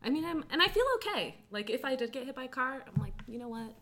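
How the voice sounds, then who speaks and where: A thirty-year-old American woman chatting casually in a candid, expressive, giggly way. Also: high voice, quick pace. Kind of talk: casual chat.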